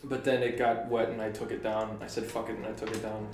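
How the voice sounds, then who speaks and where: A man's voice: fairly low pitch, level tone, fast, darkish tone, soft background music. Young man, podcast co-host, laid-back, short interjections, echoey room. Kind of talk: casual chat.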